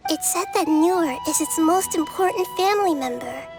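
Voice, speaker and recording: A woman voicing a small, childlike girl deity in a video game, gentle, wise and thoughtful in manner. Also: very high-pitched, moderate pace, music in the background. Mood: gently consoling. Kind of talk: scripted video game voice acting.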